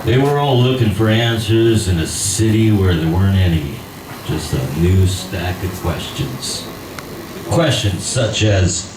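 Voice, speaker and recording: A man, an actor by trade, stands up to read fiction to a live audience in a cabaret bar. He talks slowly and a little hesitantly, then settles into a dry, wry reading. The sound is roomy and distant, with plenty of bar ambience. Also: sits low, background noise. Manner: hesitant, self-deprecating chat before a measured, wry reading.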